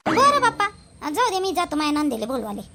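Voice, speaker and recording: A woman's voice: high voice, big pitch swings, quick pace, heavy background noise. A woman voicing a chatty, aggrieved village housewife in a cartoon, speaking with a Varhadi Marathi accent from Vidarbha in a plaintive, rambling way. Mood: resigned, chatty. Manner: gossipy, plaintive, repetitive rambling. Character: chatty, aggrieved village housewife complaining about her sister-in-law. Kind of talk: amateur cartoon dialogue in village dialect.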